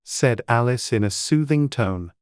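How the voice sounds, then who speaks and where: A woman's voice: extremely low pitch, animated, measured pace, darkish tone, clean tone, no room sound, clean studio sound. A woman reading scripted storybook narration as an audiobook narrator.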